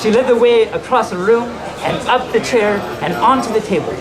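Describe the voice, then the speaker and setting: A storyteller reading a bedtime tale to a live audience informally, haltingly, often repeating and correcting phrases. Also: medium pitch, poor audio. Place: large indoor hotel room at a convention. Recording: loud crowd in a large public room.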